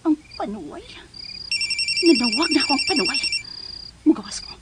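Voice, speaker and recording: A woman's voice: high voice, animated, normal speed, very noisy. A Filipina woman playing a domineering, possessive wealthy schemer in a radio drama, with a Filipino accent. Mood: scheming, indignant. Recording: radio drama with loud music underneath.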